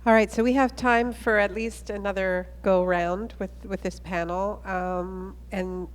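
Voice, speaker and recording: A woman's voice: medium pitch, steady tone, a little slow, big-room sound, a little hiss or hum. A woman moderating a conference panel, asking long, thoughtful questions. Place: conference plenary hall.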